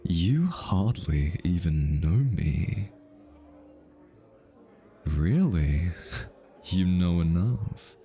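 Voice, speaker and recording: A man's voice: low voice, animated, very slow, long pauses, mellow, exaggerated voice. A male voice actor playing an easily flustered, teasing hitman who is smitten, in an ASMR roleplay. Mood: teasing, defensive. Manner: teasing, stammers and backtracks when flustered.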